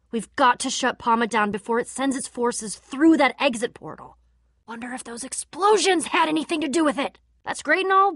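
A woman playing a feisty, sardonic young warrior in a video game, with a wry, expressive delivery. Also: sits high, no room sound, clean studio sound. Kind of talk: animated game voice acting.

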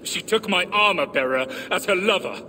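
An actor playing the bitter, vengeful ghost of a betrayed ancient British warrior in a video game, speaking theatrically. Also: male voice, high-pitched, expressive, bright, forceful tone. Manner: theatrical, bitter, drawn-out delivery. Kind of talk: dramatic video game monologue. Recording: long cavernous reverb, clear ambient noise.

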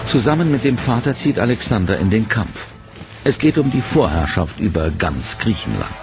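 A man narrating a history documentary in a measured, dramatic scripted delivery. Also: fairly low pitch, big pitch swings. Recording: voice-over over loud music. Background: music bed.

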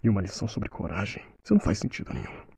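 A young Brazilian man dubbing a defensive, anguished young man under interrogation in a TV drama, breathy and halting in his delivery. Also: lowish voice, very animated, darkish tone, slight background noise. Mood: bitter, indignant. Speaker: fandub voice actor.

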